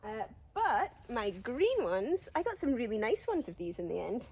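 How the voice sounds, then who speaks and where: A woman's voice: on the high side, big pitch swings, normal speed, darkish tone, husky. A woman with a cold, a bit sniffly, chatting casually through a walk-through of her allotment garden with lots of ums and little laughs. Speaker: gardening vlogger.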